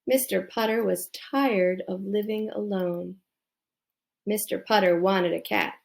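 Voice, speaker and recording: Lady reading a kids bedtime story really slow, lots of vocal fry. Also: medium pitch, steady tone. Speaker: storybook reader.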